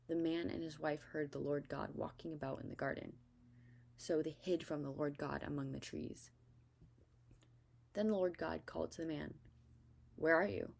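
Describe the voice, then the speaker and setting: A woman narrates scripture as a scripted reading. Her voice is notably low for a woman, with plenty of vocal fry. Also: mellow. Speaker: scripture reader.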